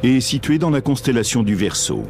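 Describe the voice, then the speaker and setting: A man reading a scripted documentary narration in an expressive, explanatory way. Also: medium pitch, a little fast. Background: music.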